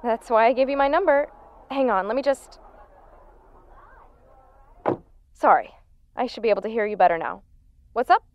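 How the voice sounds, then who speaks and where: An actress playing a dry-witted, supportive assistant on a phone call in an audio drama, matter-of-fact and reassuring. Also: female voice, fairly high pitch, animated, fast talker, a little hiss or hum. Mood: brisk, friendly. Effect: phone call filter. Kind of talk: naturalistic audio drama acting. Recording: slightly muffled phone-call sound.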